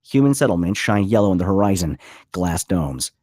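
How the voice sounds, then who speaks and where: A man narrates screen directions from a script in a low, husky voice. The sound is dry and close, as at a table read. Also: big pitch swings, brisk, a little mellow, no room sound. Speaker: stage-direction reader.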